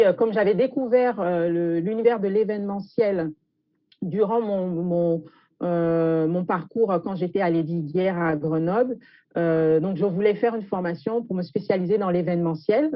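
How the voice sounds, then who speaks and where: A woman from Gabon speaking as a guest chef, recounting her background in an interview with drawn-out hesitations. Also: fairly low pitch, dry recording. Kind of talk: autobiographical account in an interview. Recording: muffled lo-fi audio.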